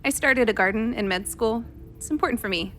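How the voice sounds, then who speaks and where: An earnest, caring and slightly awkward woman doctor, played by an actress chats in a space radio drama. Her voice is on the high side, with a hesitant conversational flow. Quiet music sits behind her. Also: a little fast, natural voice. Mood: curious, friendly. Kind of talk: radio play dialogue.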